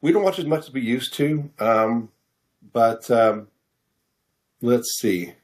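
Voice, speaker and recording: A middle-aged American man answering questions in a casual interview, halting and self-deprecating as he searches for his words. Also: medium pitch. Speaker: author interview guest.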